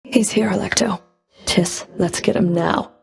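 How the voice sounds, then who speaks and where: A woman's voice: mid-range voice, fairly even intonation, a little slow, vocal fry, husky, soft background music, exaggerated voice. A woman playing a stern, scornful Fury of the underworld in a video game, speaking in a cool, clipped, disdainful manner. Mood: commanding. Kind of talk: video game combat and dialogue voice lines.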